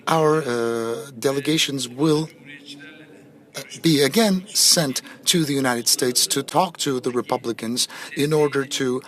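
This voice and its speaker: A man giving a simultaneous interpretation of a president's answers at a press conference, measured and pausing often with fillers. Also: mid-range voice, fairly bright tone. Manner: measured, with frequent pauses and fillers.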